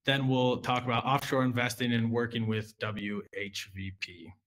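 A man's voice: mid-range voice, little pitch movement, moderate pace, a bit bright. American man presenting a finance webinar. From United States. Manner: conversational, with frequent fillers and restarts. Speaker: junior relationship manager.

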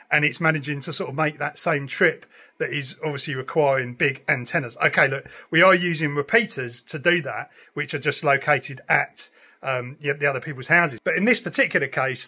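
A man's voice: fairly high pitch, pushed and bright, slightly rough. A man, chatting enthusiastically and explaining things casually like a hobbyist vlogger. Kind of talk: casual explanatory vlog chat. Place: room in a house.

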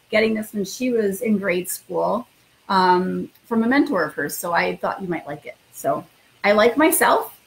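A woman's voice: mid-range voice, poor audio. A woman in middle age, a school principal, talking informally and reading a picture book to children in an encouraging way. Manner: encouraging, addresses children directly.

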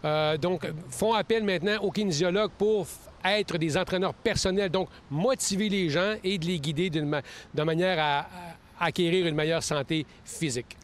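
A man's voice: high voice, moderate pace. Quebec-accented man explaining earnestly. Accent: Quebec French. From Quebec, Saguenay-Lac-Saint-Jean. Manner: earnest, explanatory, with frequent restarts. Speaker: kinesiologist guest. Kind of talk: casual interview answers. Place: outdoors in a leafy park. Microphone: handheld mic held close.

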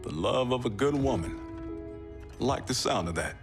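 An actor playing a gruff young soldier with metal arms in a video game cutscene. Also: male voice, medium pitch, expressive, normal speed, over music, natural voice.

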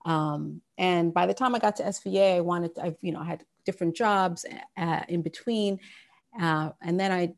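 A woman's voice: on the low side, moderate pace, creaky. A woman in her thirties from Sudan giving a casual talk over a video call from her home office, with plenty of fillers and restarts. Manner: conversational, many fillers and restarts. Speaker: guest speaker. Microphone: wired earphones on a video call.

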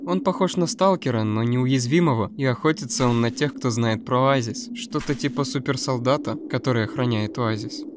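A seasoned stalker guide, played by a man, wary and world-weary, in a cartoon dub. He has a mid-pitched voice over clearly noisy outdoor ambience with birds. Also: moderate pace, few pauses, lightly acted. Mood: reflective. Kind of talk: dubbed animated web series dialogue.

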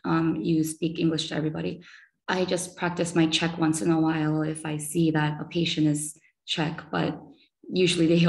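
A woman's voice: on the low side, a little mellow. A young woman in her twenties, a medical student, talking through her experience over a video call in a chatty way with lots of fillers. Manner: chatty, many fillers like "you know" and "um". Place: small room indoors.

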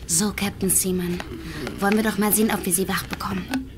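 An actress playing a composed young blind nurse with a soothing, deliberate way of talking and a hidden cold streak, in a German audio drama. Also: female voice, mid-range voice, fairly even intonation, noisy background, close to an everyday voice. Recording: music behind the voice.

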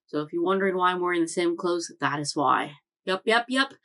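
Young woman rambling about an anime at home, kinda low voice, lots of ums. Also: lively intonation, a little fast, fairly bright tone. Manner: rambling, chatty, with many fillers and self-corrections. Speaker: anime reaction channel host. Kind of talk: casual chat recap. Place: home room with bookshelves.

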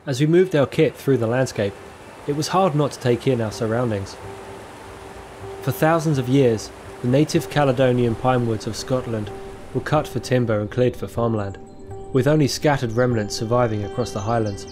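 A man gives a calm, reflective voice-over at a mid pitch, each sentence measured and unhurried. His voice sits over clear music playing beneath the narration. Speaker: filmmaker narrating his own trip. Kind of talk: scripted documentary voice-over narration. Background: music playing under the voice.

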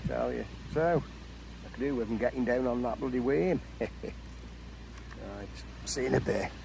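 A middle-aged man from England who vlogs his fishing, chatting to a camera on the bank of a lake outdoors, excitable and chuckling as he calls out his catches. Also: mid-range voice, moderate pace, gravelly, background noise. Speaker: angler vlogger. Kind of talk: casual fishing commentary to camera. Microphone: camera close by on the bank.

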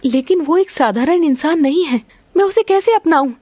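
A woman's voice: sits high, animated, moderate pace, a little mellow, no echo, pitched above the performer's own voice. A woman voicing an emotional, remorseful mother with earnest, dramatic delivery in a cartoon story. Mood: troubled.

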